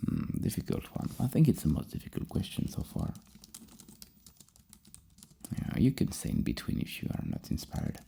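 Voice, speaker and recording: A very low, heavily creaky voice speaks softly as an ASMR therapist reading out questions. The tone is extremely dark and husky, and the delivery is halting and thoughtful, with repeated words. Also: huge pitch swings, a little hiss or hum. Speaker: ASMR roleplay host as psychologist. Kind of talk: soft-spoken ASMR questionnaire roleplay.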